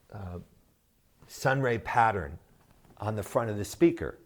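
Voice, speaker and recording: A man presenting his company's product in an unscripted way, speaking in a measured, halting manner with deliberate pauses and emphasis. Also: lowish voice.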